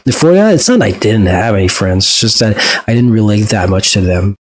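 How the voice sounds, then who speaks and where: A man's voice: fairly low pitch, expressive, a little fast. A man with a French accent, a native French speaker, talking through a reaction video in a hesitant, reflective way with many fillers and restarts. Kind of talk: casual reflective monologue.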